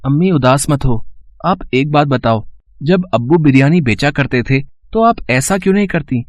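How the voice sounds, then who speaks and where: A man's voice: on the high side, lively intonation, normal speed, no echo, lightly acted. A man voicing an innocent young son in a cartoon story, childlike, earnest and curious. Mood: pleading. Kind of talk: cartoon moral story acting.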